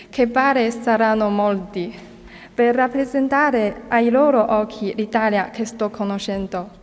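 A woman's voice: on the high side, darkish tone. A young woman from China, a student volunteer carefully reading a prepared statement at a podium. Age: young adult. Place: large ornate state hall. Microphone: podium microphones. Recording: long hall reverb.

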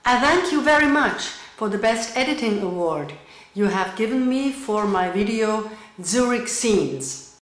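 A middle-aged woman from Switzerland and Luxembourg, a visual artist speaking to camera in a measured, explanatory way with some fillers. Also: a little high, expressive, roomy sound. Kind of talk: recorded artist talk to camera. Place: indoor room with bare wall. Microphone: camera framed at chest height.

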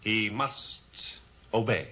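An actor playing an intense, accusing heir of a cursed family in a theatrical gothic television soap. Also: male voice, fairly low pitch, lively intonation, extremely slow, bright, forceful tone, exaggerated voice. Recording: old TV soundtrack, dramatic music underneath.

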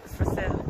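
Chatty woman vlogging in a noisy car. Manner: excitable, chatty. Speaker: vlogger recording while driving. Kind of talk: casual chat. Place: inside a moving car. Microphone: handheld phone. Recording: loud car and road noise.

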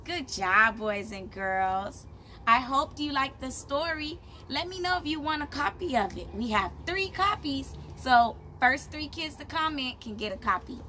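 A woman in her thirties reading a picture book aloud to young children as their teacher, in simple, deliberate phrases, recorded outdoors by a camera a short distance away. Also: high voice, lively intonation, sharp tone. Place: outdoors, on a patio beside plants. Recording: clear outdoor background noise.

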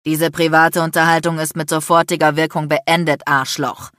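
A woman voicing an icy, furious, sarcastic man in an audiobook, hissing and shouting with biting, threatening bluntness. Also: low-pitched, normal speed, no echo, studio quality. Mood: icy fury, threatening. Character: enraged, icy, sarcastic, domineering adult man, a lawyer. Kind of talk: dramatic audiobook character acting.

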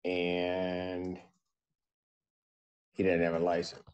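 An older man with an American accent presiding as a judge in a courtroom over a video call, speaking in a deliberate, measured way. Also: low voice, completely flat delivery, darkish tone, clean tone, dull audio. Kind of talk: formal courtroom proceedings.

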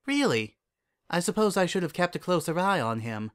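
Man voicing a gentle regal pony princess, pitched up. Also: big pitch swings, moderate pace, clear, resonant tone. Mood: composed, amused. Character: calm, kindly royal pony princess and mentor, female. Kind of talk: storybook character dialogue.

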